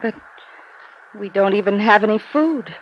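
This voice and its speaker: An actress playing a wistful, troubled wife of a fugitive, earnest and plaintive, in a 1950s radio drama. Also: female voice, mid-range voice, expressive, a little slow, natural voice. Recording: muffled, hissy old radio recording.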